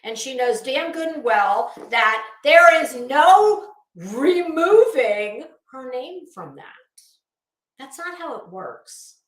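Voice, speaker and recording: A woman's voice: high voice, lively intonation, slow, fairly bright tone, reverberant, poor audio. A woman delivering an opinionated online commentary rant, blunt, scolding, emphatic and sweary. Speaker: online commentary video host.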